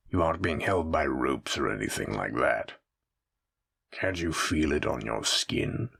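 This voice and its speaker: A menacing supernatural mafia boss, played by a man toys with his captive in a roleplay audio drama. His voice is very deep and husky, with heavy gravel in it. He taunts and teases theatrically, speaking straight to the listener. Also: a bit bright, exaggerated voice. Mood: menacing, smug. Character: menacing, teasing male mafia boss villain with shadow powers.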